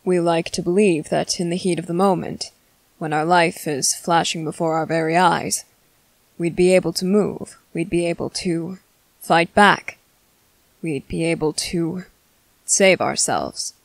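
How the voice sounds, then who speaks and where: A woman voicing a composed, menacing male vampire commander in an ASMR roleplay, speaking formally and deliberately with dramatic pauses. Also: lowish voice, steady tone, creaky, dry recording. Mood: calm, ominous. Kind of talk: ASMR roleplay monologue to the listener.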